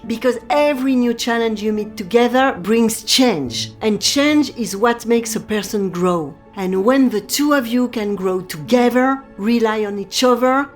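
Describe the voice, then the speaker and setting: A woman acts an older French café proprietress in an audio drama, pitched fairly high over a faint musical bed. She is wry and motherly, speaking with theatrical flourish and coaxing authority. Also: natural voice. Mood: tender, earnest.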